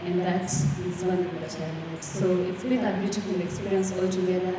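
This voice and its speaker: A woman's voice: on the low side, steady tone, brisk. A young woman from Rwanda speaking earnestly as a panelist, with frequent fillers and restarts. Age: young adult. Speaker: panelist, student representative. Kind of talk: panel discussion answer. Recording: echoey hall from far off with background noise.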